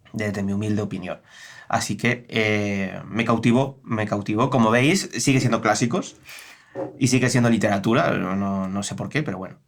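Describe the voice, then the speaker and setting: A man with an accent from Spain chatting casually about books as a co-host, hesitating and repeating phrases for emphasis as he searches for words. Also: fairly low pitch. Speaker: co-host of a bookshop's book channel. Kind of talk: casual book discussion.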